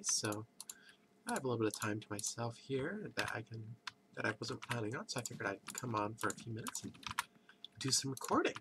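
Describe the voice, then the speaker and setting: A man with a congested, stuffy voice, just woken up and casually rambling through gameplay commentary from his computer at home. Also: mid-range voice. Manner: rambling, thinks aloud with pauses. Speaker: let's play host. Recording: background noise with keyboard clicks.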